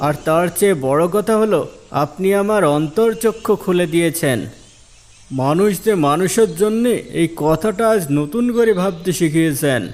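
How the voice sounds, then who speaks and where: A man's voice: high voice, moderate pace, a little mellow, slight background noise, lightly acted. A man playing an earnest, respectful villager in a moral cartoon, explaining himself politely. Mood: earnest, grateful. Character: earnest, respectful male villager seeking a judge's ruling. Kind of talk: Islamic moral cartoon dialogue.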